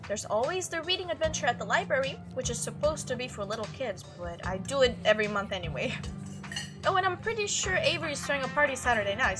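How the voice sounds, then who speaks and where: Woman voicing a high, playful, chatty small-town girl. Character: chatty, teasing young small-town woman, joking and self-deprecating. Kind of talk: visual novel character read aloud.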